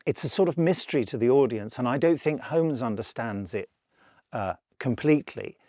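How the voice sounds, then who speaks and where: A man's voice: medium pitch, lively intonation, moderate pace, a little mellow, no room sound, clean studio sound. A man chatting enthusiastically in an interview as someone who makes audio dramas. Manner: enthusiastic, acts out imagined quotes. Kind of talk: interview chat.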